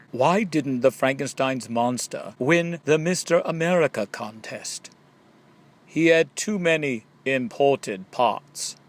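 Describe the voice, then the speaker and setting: A man's voice: mid-range voice, vocal fry. A man reading scripted question-and-answer jokes and riddles as an audiobook narrator, playfully setting up each question and drawing out the punchlines. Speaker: audiobook reader.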